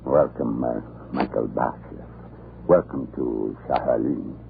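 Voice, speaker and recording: A very deep, gravelly scheming tyrant. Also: male voice, takes it slowly, exaggerated voice. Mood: uneasy, ingratiating. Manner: menacing, mock-kindly, theatrical. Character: cruel, scheming tyrant commandant of a Russian prison island. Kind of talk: 1940s radio drama acting. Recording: muffled, noisy old radio broadcast.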